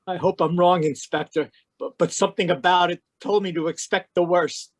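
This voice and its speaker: A woman's voice: on the low side, steady tone, moderate pace, close to an everyday voice. A woman playing an anxious husband protesting his innocence in old-time radio mystery style. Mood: uneasy. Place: live video call at home. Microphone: video call.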